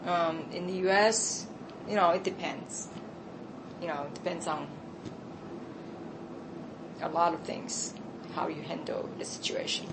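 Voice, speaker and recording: Taiwanese-accented woman, lowish voice, earnest halting answers, noisy background hiss. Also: vocal fry. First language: Chinese. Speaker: interviewee. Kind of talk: candid conversational answers.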